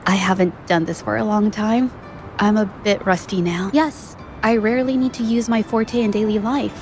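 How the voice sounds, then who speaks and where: A woman voicing a gentle, thoughtful young female painter in game dialogue, speaking softly and carefully. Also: mid-range voice, fairly even intonation, moderate pace, faint music behind, close to an everyday voice. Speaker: game character.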